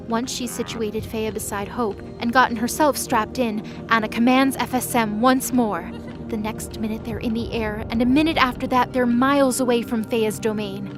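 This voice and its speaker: Female narrator for mythology audio drama, slightly high voice, scripted storytelling with music clearly underneath.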